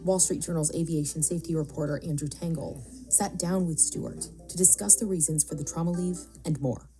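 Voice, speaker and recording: A woman's voice: low voice, fairly even intonation, fairly quick. A woman reading scripted news narration in the role of a video report narrator. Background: soft music bed.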